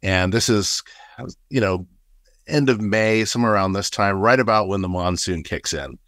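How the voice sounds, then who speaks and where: A man in his forties who grew up in Nepal, a guest telling animated stories with frequent laughs over a webcam from a small room. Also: fairly low pitch, moderate pace, dry recording, studio quality. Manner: animated, laughs often, gestures broadly. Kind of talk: casual storytelling. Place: small room with paper screens. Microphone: video call webcam.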